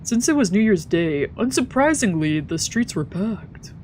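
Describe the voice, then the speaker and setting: A woman's voice: mid-range voice, big pitch swings, unhurried, darkish tone, a little hiss or hum. Woman reading a visual novel aloud. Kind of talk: let's play, narrating and voicing translated lines.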